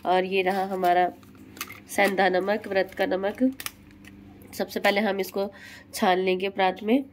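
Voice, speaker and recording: A woman in her thirties vlogging casually to her phone camera at home, chatty and addressing viewers as friends, with a hoarse throat from a cold. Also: medium pitch, little pitch movement, measured pace, slight background noise. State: hoarse throat, feeling unwell. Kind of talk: casual vlog narration to camera. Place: home kitchen and living room, indoors. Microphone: phone at arm's length.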